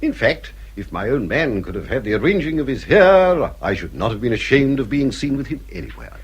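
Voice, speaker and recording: An actor playing a vain, snobbish older English father in a period radio drama, with pompous, hesitant speech and drawn-out exclamations. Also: mid-range voice, sing-song, husky. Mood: smug, indulgent.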